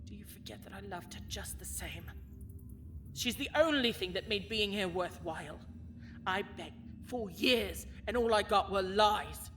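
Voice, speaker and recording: A woman's voice: mid-range voice, measured pace, music in the background, close to an everyday voice. A woman playing a cold, bitter villainess who rules a dungeon, speaking with haughty, theatrical menace in a fantasy audio drama. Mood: wounded, bitter. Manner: haughty, menacing, theatrical, swinging from hushed to forceful.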